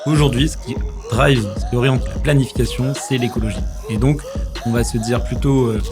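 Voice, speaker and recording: A man's voice: medium pitch, steady tone, brisk, over music. A man in his thirties, originally from southwest France, talking in a casual interview, full of hesitations and restarts. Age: 30s. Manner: hesitant, many fillers and restarts. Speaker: guest, company founder. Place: podcast studio.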